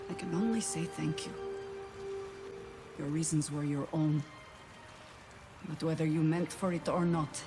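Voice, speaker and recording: An actress playing a stern, world-weary older female druid in a video game, with a dry, measured, sardonic manner. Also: on the low side. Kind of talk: theatrical video game dialogue.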